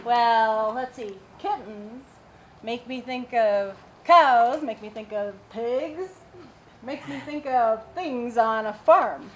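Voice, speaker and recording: A woman's voice: a little high, slow pace. A woman hosting story time for young children, talking in an encouraging, celebratory and exclamatory way. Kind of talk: sing-along and chat for young children. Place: public library story time room. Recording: echoey room with loud crowd noise. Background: group of children and adults.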